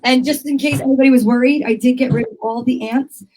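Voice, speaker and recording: Female host, very high voice, informal chat for a homemade show. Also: fairly even intonation, fast talker, noisy background. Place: home basement studio. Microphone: studio microphone.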